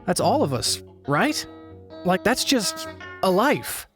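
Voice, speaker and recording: A man's voice: high voice, very animated, slow, heavily acted. A man playing a skeptical young friend in an audio drama, musing bluntly in casual, conversational speech. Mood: rising frustration. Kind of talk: casual monologue in audio fiction. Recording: guitar music under the voice.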